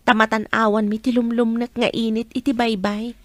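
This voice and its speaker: Actress as caring young rural health worker, fairly high voice, dry sound, radio drama. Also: female voice, flat delivery, normal speed, no echo, natural voice. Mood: friendly, wistful.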